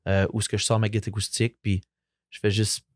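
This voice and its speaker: A young man with a Franco-Ontarian French accent, a musician chatting as a guest, relaxed and rambling with lots of fillers. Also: fairly low pitch, fast talker, no room sound, clean studio sound. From Eastern Ontario, Canada. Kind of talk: casual interview chat.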